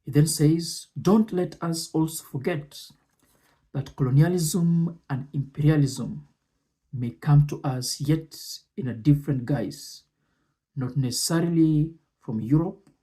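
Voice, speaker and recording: A man presenting and commenting on speech excerpts in a deliberate, measured way, often pausing between short phrases. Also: on the high side, mellow, low-quality recording.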